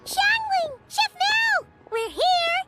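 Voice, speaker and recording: Squeaky, excitable tiny fairy companion. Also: female voice, takes it slowly, faint music behind, heavily acted. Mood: excited. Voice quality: squeaky, childlike. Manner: bubbly, refers to self in third person. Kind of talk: video game voice acting.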